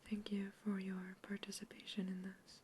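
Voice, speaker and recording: A woman whispering in an ASMR roleplay as a polite human researcher questioning a captive alien, gently curious and reassuring. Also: medium pitch, completely flat delivery, moderate pace, a little hiss or hum, lightly acted. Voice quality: soft whisper throughout.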